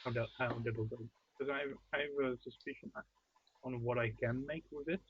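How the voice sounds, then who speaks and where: A man co-hosting a card game stream over a remote call, with a husky voice, talking things through with hesitant restarts. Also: mid-range voice, fairly even intonation, normal speed. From outside the United States. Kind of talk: casual post-game chat. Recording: dry, tinny call-quality audio.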